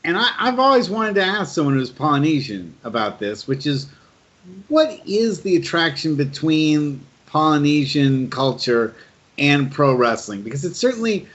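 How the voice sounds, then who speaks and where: A man's voice: fairly high pitch, very animated, normal speed, fairly bright tone. A man hosting a conversational interview, putting questions to a guest. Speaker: podcast host asking questions. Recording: dull, lo-fi call audio.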